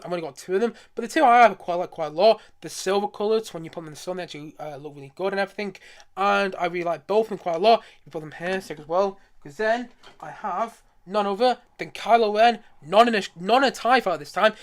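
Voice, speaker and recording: A man with a high voice talks at a rapid clip as he hosts a casual live stream. He is enthusiastic and rambles along, circling back to repeat his points. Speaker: streamer showing a collection.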